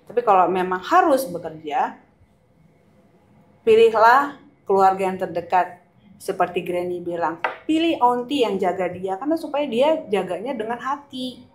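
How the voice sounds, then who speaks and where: An older woman giving earnest advice in a relaxed podcast chat, repeating her key points. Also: medium pitch, moderate pace, roomy sound, rough recording. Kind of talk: conversational podcast chat mixing languages.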